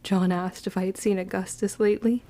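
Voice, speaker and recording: A woman acting a wounded, vengeful young witch who narrates her own story in an audio drama, with deliberate, emotive delivery. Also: mid-range voice, steady tone, dark tone, lightly acted. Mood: sorrowful, guilty.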